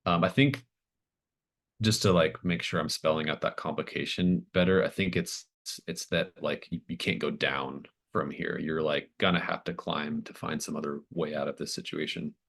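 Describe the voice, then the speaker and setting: A man working as game master, improvising horror scene descriptions in a halting, thoughtful way. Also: very deep voice, fairly even intonation, gravelly, a bit bright.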